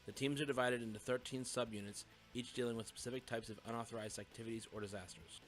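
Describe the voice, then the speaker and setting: A man's voice: medium pitch, fairly even intonation, fast, close to an everyday voice. A man playing an academy student, formally reading a definition aloud in a scripted audio drama. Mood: formal, informed.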